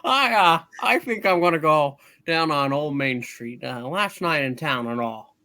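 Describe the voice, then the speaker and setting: A man's voice: a little high, animated, a little slow, pushed and bright, exaggerated voice. A man playing an earnest, restless, dreamy young small-town man in a hammed-up radio play reading, eager and theatrical.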